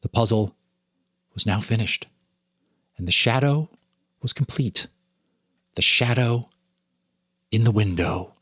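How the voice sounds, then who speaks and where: A man giving a dramatic reading of a ghost story, with suspenseful, deliberate phrasing and dramatic pauses. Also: fairly low pitch, lively intonation, a bit bright, husky, no room sound, studio quality. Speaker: storyteller, narrator and host.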